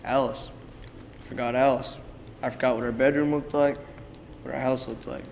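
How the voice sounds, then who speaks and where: A man acting in a play reading as a frightened, confused man losing his memory, subdued and halting, in a reverberant, noisy hall. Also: medium pitch, flat delivery, creaky, darkish tone, lightly acted. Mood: scared. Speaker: drama student actor. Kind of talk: dramatic reading of a stage play. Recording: reverberant hall with background noise.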